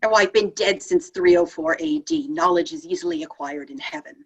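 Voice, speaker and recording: A woman playing a compassionate, questioning young saint from heaven in a play table read, with a wry, expressive delivery. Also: on the low side, a little fast, vocal fry, slightly rough, full character voice.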